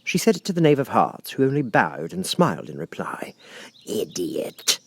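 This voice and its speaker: A man reading a children's audiobook as narrator, in an expressive storytelling style. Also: mid-range voice, fairly quick, no room sound. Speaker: audiobook reader. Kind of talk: animated scripted storybook narration.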